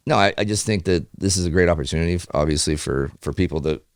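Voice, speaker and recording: A man's voice: low voice, quick pace, dry recording, studio quality. A man hosting a podcast, talking in an informal, conversational way. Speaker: podcast host. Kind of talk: casual podcast chat.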